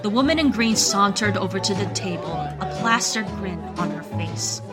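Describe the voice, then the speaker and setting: A woman's voice: medium pitch, expressive, normal speed. A woman narrator reading a scripted dramatic story for a radio play. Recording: loud music under the voice. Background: loud music.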